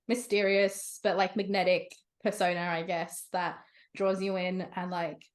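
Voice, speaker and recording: A woman's voice: fairly low pitch, little pitch movement, a little slow. Bubbly young woman author rambling. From half Romanian, half Sri Lankan heritage. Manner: bubbly, rambling, lots of "like" fillers, laughs. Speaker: author guest. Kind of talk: casual interview chat.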